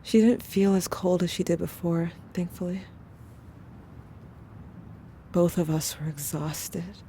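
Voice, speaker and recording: A woman playing a weary, somber narrator, telling a first-person story in measured audio drama narration. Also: lowish voice, fairly even intonation, mellow, close to an everyday voice. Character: somber, weary narrator recounting haunted memories. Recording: faint ambient noise.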